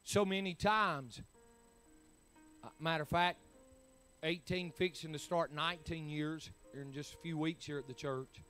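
A man's voice: on the high side, fairly even intonation, unhurried, a bit bright, clean tone, faint music behind. A man, preaching a sermon in emphatic, rising bursts. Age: 40s. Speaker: pastor.

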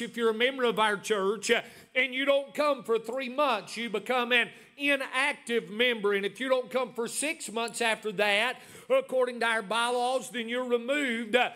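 A man's voice: very high-pitched, bright, forceful tone. A man in his forties preaching a sermon as pastor, with many "uh" fillers and emphatic repetition. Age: 40s.

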